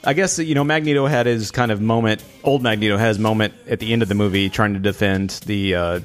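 A man's voice: lowish voice, fast, faint music behind. A man chatting casually as he co-hosts a film review podcast. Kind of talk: casual chat.

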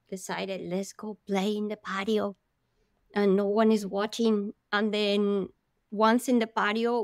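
A woman's voice: medium pitch, clear, resonant tone, no echo, studio quality. Woman telling a vivid story. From Colombia. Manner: animated, vivid storyteller with gasps and laughs. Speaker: guest. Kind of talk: storytelling in an interview.

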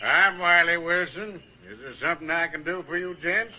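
A man's voice: a little high, moderate pace, slight background noise, natural voice. An actor as a stubborn backwoods father, lo-fi radio. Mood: wary. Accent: rural American drawl. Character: polite but stubborn backwoods father of seven. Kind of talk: old-time radio western acting. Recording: dull lo-fi old radio recording.

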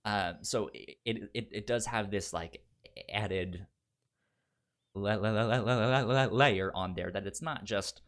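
A man's voice: lowish voice, unhurried, a bit bright. A man chatting casually as a podcast host giving recommendations, stuttering and repeating syllables and words as he goes. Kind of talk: casual film chat.

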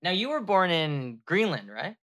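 Bright-voiced male podcast host. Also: high voice, big pitch swings, normal speed. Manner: conversational, friendly questioning. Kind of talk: casual interviewing.

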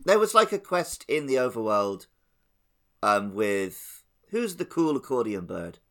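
A man's voice: medium pitch, big pitch swings, unhurried. A man chatting casually about a video game as a podcast host.

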